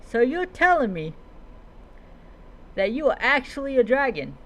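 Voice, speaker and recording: A woman's voice: sits high, sing-song, measured pace, voice pushed up, poor audio. A woman playing an incredulous young dragon queen and daughter, speaking with questioning emphasis in a fantasy roleplay. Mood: incredulous, excited.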